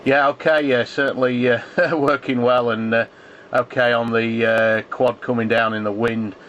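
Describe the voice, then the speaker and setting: A man's voice: mid-range voice, flat delivery, moderate pace, a bit bright. A man chatting casually as an amateur radio operator, giving callsigns in the phonetic alphabet. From England. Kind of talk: casual ham radio contact chat. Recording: muffled shortwave radio contact, faint static.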